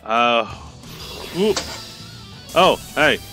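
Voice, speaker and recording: A man's voice: medium pitch, lively intonation, drawn-out words, over music. A man chatting casually as a game streamer, teasing and swearing freely. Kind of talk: casual chat while livestreaming a game. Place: live game stream, music behind.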